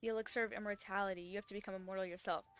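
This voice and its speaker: Bright-voiced casual woman gamer. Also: medium pitch, steady tone, rapid-fire, clear, resonant tone. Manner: informal, spontaneous. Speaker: gamer reacting to story quest. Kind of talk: casual gameplay commentary.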